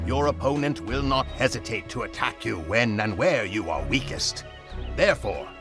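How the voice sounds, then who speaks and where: An actor playing a solemn military mentor, speaking in a measured, formal, instructive way for a strategy game. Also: male voice, medium pitch. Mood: grave, urging. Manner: measured, instructive, formal, dramatic pauses before sayings. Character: solemn male military mentor quoting ancient Chinese strategy. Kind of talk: scripted game narration.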